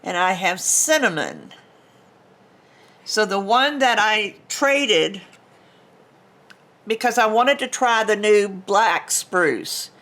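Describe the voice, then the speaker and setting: A woman's voice: mid-range voice, expressive, takes it slowly, long pauses, a bit bright. An older woman with a congested, stuffy nose, chatting casually and rambling a little as she unboxes a craft kit at home on her camera mic. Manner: chatty and rambling, with restarts and small laughs. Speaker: vlogger unboxing a craft subscription box.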